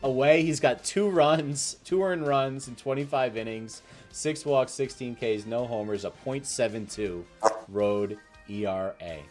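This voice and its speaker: Male sports show host. Conversational presenter, medium pitch, light music underneath. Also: a little slow, a little mellow. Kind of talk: casual topic presentation.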